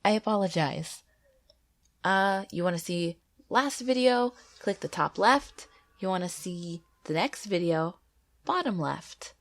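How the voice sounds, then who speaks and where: A woman's voice: on the low side, animated, measured pace. Stuffy-nosed woman telling ghost stories. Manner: gentle, earnest storytelling. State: stuffy nose from a cold. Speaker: YouTube ghost story narrator. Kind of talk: reading true ghost stories aloud. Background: faint background music.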